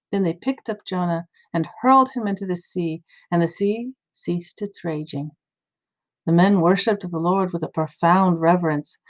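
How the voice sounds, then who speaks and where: A woman reading scripture aloud as expressive, dramatic storytelling narration at a medium pitch. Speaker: scripture reader.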